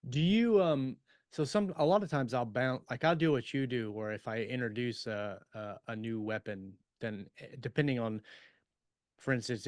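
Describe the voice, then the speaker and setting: A man's voice: on the low side, clear, resonant tone, no echo. Casual man, close dry sound. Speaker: podcast host and fiction writer. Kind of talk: casual shop talk.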